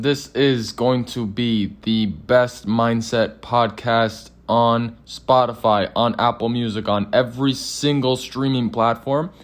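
A man speaking as a podcast guest, earnest and halting, full of restarts as he answers. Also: mid-range voice. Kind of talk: casual interview.

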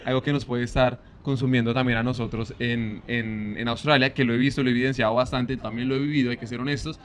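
A man from Colombia with a Bogotá accent, a guest on a casual podcast interview, talking in a relaxed, easygoing way. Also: medium pitch, fast. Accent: Bogotá Colombian Spanish. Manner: relaxed, easygoing, leans on "pues" as a filler.

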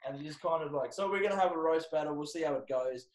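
Echoey, higher-voiced Australian man, sweary and blunt. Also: fast talker. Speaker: podcast host and comedian. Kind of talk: casual chat. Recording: echoey room sound.

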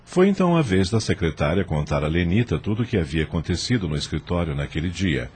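A man's voice: low voice, very animated, fast, darkish tone, slightly rough. A man narrating a scripted radio drama as its storyteller.

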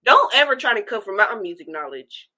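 A woman's voice: medium pitch, big pitch swings, brisk, crowd noise. A woman co-hosting a podcast in casual, slangy chat, with a playfully defensive manner.

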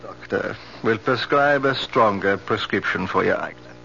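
An actor playing a genial, precise eye doctor in a radio drama, with formal, kindly, old-world phrasing. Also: male voice, on the low side, moderate pace, vocal fry, bright, forceful tone. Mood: genial, measured. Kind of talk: 1970s radio drama acting. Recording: dull, hissy 1970s radio recording.

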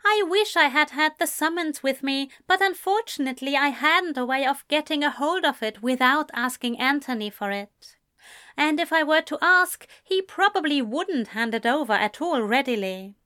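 A woman's voice: high voice, expressive, normal speed, bright, forceful tone, dry recording, studio quality, voice pushed up. A woman voicing a polite, reserved young man who speaks formally. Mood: earnest.

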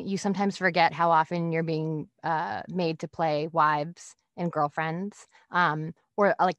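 A woman's voice: fairly low pitch, fairly bright tone, no echo. A woman guest, a comedian from Massachusetts, chatting in a rambling way with frequent laughs over a video call. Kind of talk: casual video-call chat.

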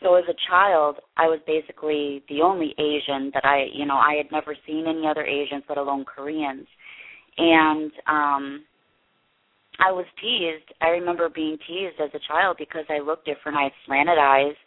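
Low-voiced woman on a phone line. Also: fairly even intonation. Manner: earnest, halting, many restarts. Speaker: interview guest, production company head. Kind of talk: candid personal interview.

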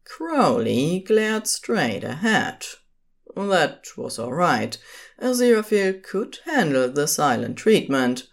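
A woman's voice: low-pitched, sing-song, slow pace. A woman narrating scripted fiction as the storyteller of an audio drama.

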